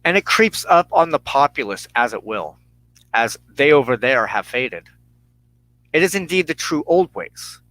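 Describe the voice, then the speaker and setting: A man hosting a religious broadcast, giving a solemn, measured sermon of personal testimony and teaching with emphatic phrasing and deliberate pauses. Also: medium pitch, big pitch swings, fairly bright tone, rough recording.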